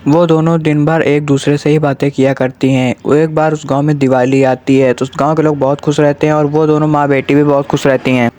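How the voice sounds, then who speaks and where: Flat-toned male storyteller, slightly high. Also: fairly quick, few pauses, no room sound. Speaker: narrator. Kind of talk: scripted storytelling narration for cartoon.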